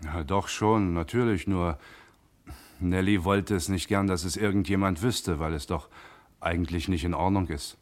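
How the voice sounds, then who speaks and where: Actor as very deep-voiced, evasive car mechanic. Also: male voice, fairly even intonation, moderate pace, lightly acted. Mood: uneasy, hesitant. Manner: hesitant, hedging, stammering. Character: evasive, uneasy male car mechanic under questioning. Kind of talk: German radio crime drama acting.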